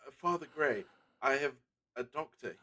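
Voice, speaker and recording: A man playing a courteous, worried village gentleman in a period audio drama, formal and slightly hesitant. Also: medium pitch, animated, normal speed, a little hiss or hum. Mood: polite, troubled.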